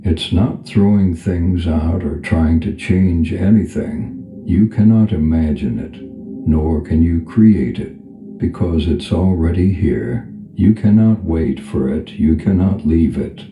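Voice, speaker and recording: A man leading a guided meditation as a calm spiritual teacher, speaking in a gentle, unhurried, instructional manner. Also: low voice, phone-quality sound. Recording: echoey reverb over background music. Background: music.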